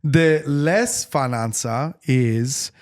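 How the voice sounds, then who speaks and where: Man, Italian accent, English not his first language, casual interview, rambling, restarts, animated. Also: mid-range voice, extremely slow. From Italy. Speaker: tailor being interviewed.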